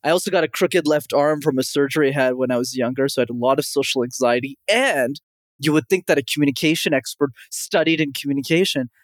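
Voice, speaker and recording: Young canadian guy coaching talk, energetic, dead dry recording. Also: medium pitch, fast, dry recording. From Montreal, Canada. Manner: energetic, confident, frequently addresses host by name. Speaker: communication coach, guest. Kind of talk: podcast interview answers.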